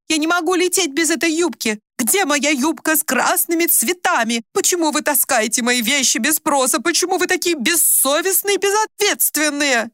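A woman's voice: on the high side, a bit bright, no room sound, clean studio sound, pitched above the performer's own voice. A woman voicing an agitated, confused old mother in an audiobook, imperious, insistent and accusing. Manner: insistent, accusing, talks without stopping. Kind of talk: dramatised audiobook reading.

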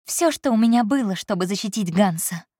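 A woman's voice: medium pitch, lively intonation, fairly quick, warm tone, no room sound, clean studio sound, voice pushed up, natural voice. A woman voicing a proud, stubborn young woman who answers her employer with defiance and cutting emotion. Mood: defiant.

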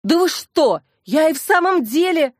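A woman narrator voicing a frightened, hysterical young woman, crying and pleading in shrill outbursts. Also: animated, moderate pace, dry recording, clean studio sound. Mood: shocked, indignant. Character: hysterical frightened young woman, sobbing accused press secretary.

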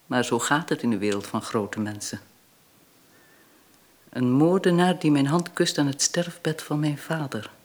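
An actress in a radio drama playing a proud, bitter aristocratic lady in mourning, formal and restrained. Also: on the low side.

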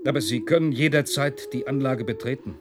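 A man's voice: medium pitch, a little fast, soft background music, natural voice. An actor playing a dogged, irritable male investigator who questions a suspect insistently in a German science-fiction radio drama.

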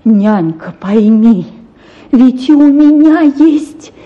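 A woman playing an anguished, repressed young gentlewoman in a theatrical radio drama, pleading tremulously. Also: high voice, lively intonation, takes it slowly, narrow, tinny audio.

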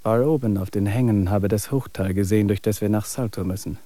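Tired wry traveler guy in a radio drama, a man acting it, steady reflective talk, dry close mic. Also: on the low side, a little fast, no echo, lightly acted. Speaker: main character.

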